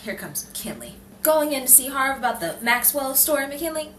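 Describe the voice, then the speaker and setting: A woman playing a plucky, eager, excitable young newspaper reporter in a 1930s-style radio drama. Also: sits high, normal speed, distant mic. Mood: smug. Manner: flustered, stammering, excitable. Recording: echoey room with faint noise.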